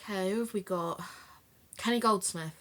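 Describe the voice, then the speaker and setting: A woman's voice: mid-range voice, normal speed, close to an everyday voice. An actress in an audio drama playing a sympathetic woman who co-hosts an investigative podcast, speaking conversationally. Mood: curious.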